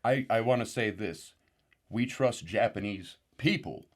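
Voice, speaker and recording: A paranoid uncle on a fringe talk show, speaking in a fairly low voice. He rambles through his claims and then breaks into sudden excitable outbursts. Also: measured pace. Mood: rambling indignant. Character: rambling paranoid uncle guest, father of sixteen. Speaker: guest. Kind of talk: deadpan parody talk show.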